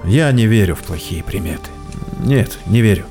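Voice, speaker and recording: A man's voice: on the low side, animated, moderate pace, vocal fry, mellow, faint music behind. A man playing a terse, stubborn but comradely army scout commander, firm and plain-spoken, in an audio drama.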